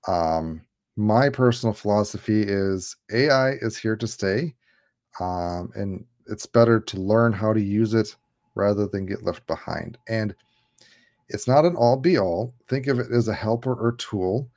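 A man's voice: on the low side, measured pace, darkish tone. A man in his thirties hosting a casual livestream on a video call, laid-back and jokey, often laughing. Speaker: livestream host. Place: home room. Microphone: headset on a video call.

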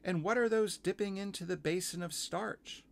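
A man's voice: sits high, normal speed, creaky, voice pushed up. A man voicing a curious little girl in a children's storybook, asking things in a direct, childlike way. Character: curious little girl searching for lost handkerchiefs.

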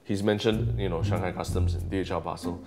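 A man's voice: sits low, fairly even intonation, fast talker, warm tone, soft background music. A young man, retelling a phone call in a dry, sarcastic way. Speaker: co-host. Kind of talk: casual comedic retelling. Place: small office with bookshelves.

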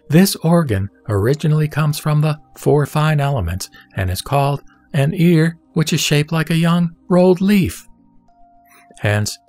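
A man giving a solemn, measured recitation of scripture in the manner of a scripted narration. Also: mid-range voice, a little mellow. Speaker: sutra reciter. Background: background music.

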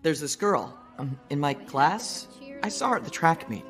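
An actor playing a nervous, awkward teenage schoolboy in an animated film, hesitant and then rushed. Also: male voice, on the high side. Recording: film soundtrack with music behind.

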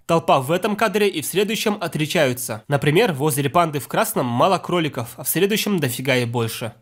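A man's voice: on the high side, expressive, fast. A man reading a scripted, fault-finding narration for a video essay. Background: music.

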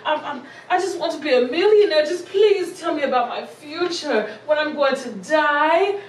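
A woman's voice: high-pitched, expressive, moderate pace. A woman playing a needy, vain socialite caller in a comedy skit, pleading and gushing with theatrical drama. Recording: echoey, distant mic, hissy background noise.

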